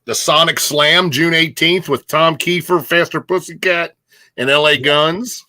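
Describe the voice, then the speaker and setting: Bright, higher-voiced man chatting as co-host. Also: unhurried, dry recording, studio quality. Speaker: live show co-host. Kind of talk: casual chat.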